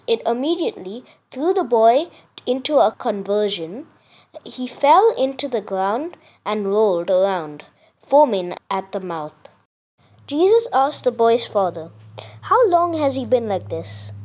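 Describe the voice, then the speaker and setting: A child reading scripture aloud from a script, carefully, with small stumbles and self-corrections. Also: mid-range voice. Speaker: reader.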